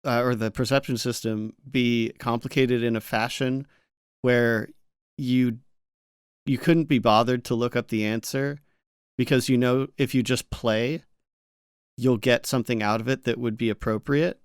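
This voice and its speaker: A man's voice: mid-range voice, steady tone, unhurried, clean tone, dry recording, studio quality. A man co-hosting a casual gaming discussion, with a playfully teasing way of talking. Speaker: podcast co-host.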